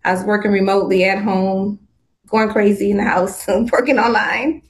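A woman's voice: mid-range voice, steady tone, moderate pace, poor audio. A woman in her fifties from Southern California, chatting about her work as a designer in an upbeat way, laughing often and restarting her sentences. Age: 50s. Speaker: guest, swimwear designer. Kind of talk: casual interview chat.

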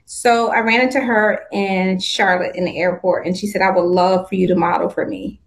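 A woman's voice: mid-range voice, fairly even intonation, moderate pace, low-quality recording. A woman in her fifties from Southern California, chatting about her work as a designer in an upbeat way, laughing often and restarting her sentences. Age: 50s. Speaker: guest, swimwear designer. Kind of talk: casual interview chat.

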